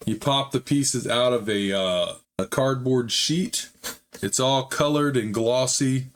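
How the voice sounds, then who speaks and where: A man hosting a hobby model-building channel, talking in a casual, rambling way with frequent fillers, his voice slightly hoarse. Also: medium pitch, slow. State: slightly hoarse, recovering from a chest illness. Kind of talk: casual build vlog narration.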